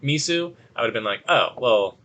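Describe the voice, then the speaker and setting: A man's voice: mid-range voice, lively intonation, moderate pace, sharp tone. A man co-hosting a podcast, speaking in blunt, slangy review chat with some swearing. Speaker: podcast co-host.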